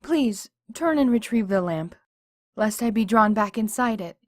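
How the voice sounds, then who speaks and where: A woman's voice: mid-range voice, lively intonation, a little slow, dry recording, clean studio sound. Woman voicing a pleading pony spirit. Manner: formal, pleading. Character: earnest, servile female winged pony spirit. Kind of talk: fan audio drama voicing.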